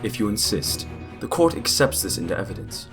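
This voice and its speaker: A man's voice: lowish voice, very animated, brisk, over music. A man playing a solemn, formal judge in a courtroom audio drama.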